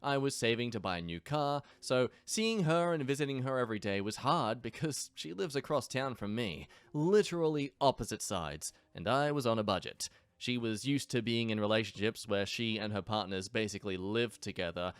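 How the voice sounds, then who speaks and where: Animated sarcastic young man reading stories. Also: medium pitch, clean tone, no echo. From England. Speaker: YouTube reaction host. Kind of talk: reading and commenting on online stories.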